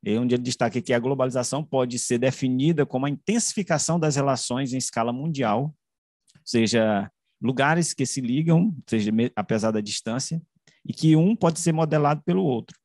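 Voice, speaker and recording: Halting man presenting research. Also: medium pitch, darkish tone, no room sound, studio quality. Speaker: researcher presenting his study. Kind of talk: academic online presentation. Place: indoors, room with bookshelves, video call. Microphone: desk microphone close to the mouth.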